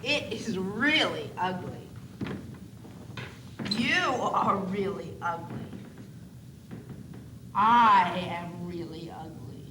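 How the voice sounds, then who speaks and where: A woman's voice: on the low side, drawn-out words, long pauses. A young woman playing a grouchy, sarcastic baby doll boy in an amateur stage comedy, complaining sardonically. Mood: sullen sarcasm. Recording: echoey far-off stage, noisy old videotape.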